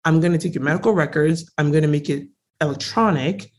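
A young woman from Congo, a native French speaker, talks as a guest in a relaxed interview. She leans hard on key words and tells her story with lively emphasis, sounding eager and direct. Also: sits low, fairly quick. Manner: emphatic, animated, frequently stresses words. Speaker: guest entrepreneur.

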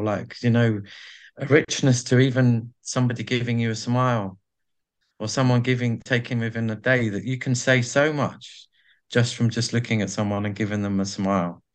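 A man being interviewed, talking in a reflective, halting way with many restarts as he searches for words. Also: mid-range voice. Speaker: podcast guest, artist and carer.

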